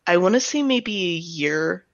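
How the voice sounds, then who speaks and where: A woman speaking as an interviewed director, explaining her creative work in a thoughtful, reflective way with softened statements. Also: on the low side, expressive, a little slow, creaky, no room sound, lo-fi sound. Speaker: audio drama director and writer being interviewed.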